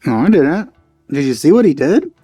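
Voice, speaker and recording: A man giving casual, offhand commentary as he plays a video game, in low-key asides on a close mic. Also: sing-song, moderate pace, warm tone, husky, dry recording, soft background music. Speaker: let's play host.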